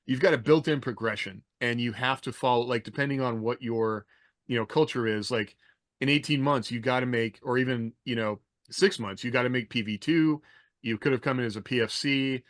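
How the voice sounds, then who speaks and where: A man's voice: medium pitch, brisk, fairly bright tone, no echo, clean studio sound. An American man co-hosting a podcast in casual chat, telling anecdotes in a loose, informal, rambling way. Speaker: podcast co-host.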